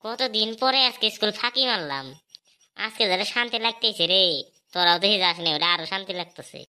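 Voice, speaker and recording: A woman's voice: mid-range voice, a little fast, sharp tone, a little hiss or hum. A woman voicing a cheeky, scheming truant schoolboy in a comedy cartoon, boastful and teasing, with a rural Bangladeshi Bengali accent. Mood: gleeful.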